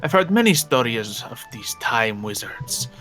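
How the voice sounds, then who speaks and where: A man's voice: mid-range voice, big pitch swings, unhurried, a bit bright. A man playing a pedantic, lecturing wizard's mentor in an improvised video game roleplay. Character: pedantic adult male know-it-all, mentor to young wizard. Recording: background music under the voice.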